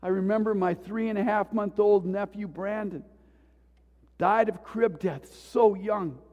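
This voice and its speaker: A man preaching in a church, his voice sitting high for a man. He talks slowly and with feeling, moving from loud pleas to near-whispers. The sound rings with the long reverb of a big hall. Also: muffled recording. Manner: impassioned, swinging from loud pleas to hushed tones. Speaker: pastor. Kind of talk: sermon. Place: church sanctuary.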